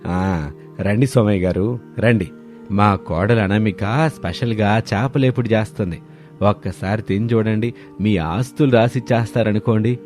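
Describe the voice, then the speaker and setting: A man's voice: fairly low pitch, moderate pace, a little mellow, soft background music, natural voice. A man playing a plain-spoken, earnest older father-in-law in a village cartoon story. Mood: welcoming. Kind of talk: dramatised moral story dialogue.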